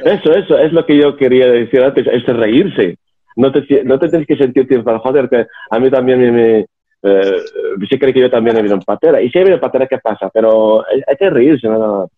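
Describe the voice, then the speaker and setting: Young man on tinny call audio. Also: mid-range voice. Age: young adult. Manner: joking, halting with many restarts. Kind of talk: casual chat on a video call.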